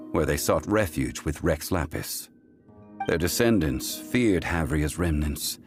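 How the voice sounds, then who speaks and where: Grave ancient god, very deep, slow and measured, faint music behind. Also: male voice, exaggerated voice. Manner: slow, formal, unhurried storytelling. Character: ancient calm male god, solemn storyteller. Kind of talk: game voice acting.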